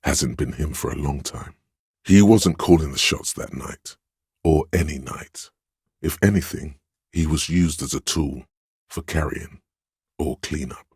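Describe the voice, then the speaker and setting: A profoundly low, clean bass plays the domineering voice of authority inside a cop's head in a video game. He is imperious and measured, scolding and goading like a superior giving orders. The sound is dry and close. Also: a little mellow, husky, no echo, full character voice. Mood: grave, measured. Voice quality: very deep, resonant bass. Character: commanding, domineering inner voice of authority in a cop's mind. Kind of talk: dramatic game narration, inner-voice lines.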